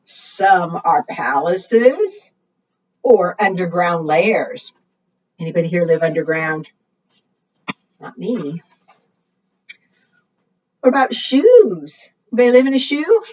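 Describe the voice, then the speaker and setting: Animated woman leading preschool storytime, slightly husky. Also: on the low side, slow pace, long pauses. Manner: sing-song, asks the listeners questions. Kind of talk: children's storytime read-aloud with questions to young children. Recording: muffled, distant microphone.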